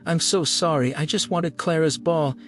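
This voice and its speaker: Man voicing a high, vulnerable farm girl. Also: moderate pace, lightly acted. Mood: sobbing apology. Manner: unguarded, pleading. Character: anxious, vulnerable young farm girl, painfully direct.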